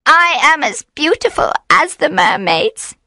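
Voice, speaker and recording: A woman's voice: high voice, very animated, a little slow, bright, forceful tone, no room sound, studio quality, voice pushed up, full character voice. A woman voicing a proud, secretive witch in a storybook, cornered and frightened, crying out dramatically. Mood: pleading. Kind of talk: dramatic storybook character reading.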